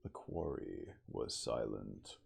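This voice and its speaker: A man reading an adventure story aloud in a deliberate, dramatic ASMR style. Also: extremely low pitch, animated, gravelly, slightly rough. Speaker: audiobook reader.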